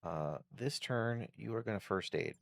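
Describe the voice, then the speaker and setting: A man's voice: on the low side, measured pace, vocal fry, no echo. A man giving casual video game commentary as a streamer, thinking aloud through tactics in short bursts. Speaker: gameplay streamer.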